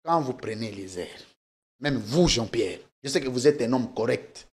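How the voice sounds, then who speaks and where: A man's voice: mid-range voice, expressive, normal speed, darkish tone. A man, a political guest arguing insistently and talking over the host. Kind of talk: heated political debate.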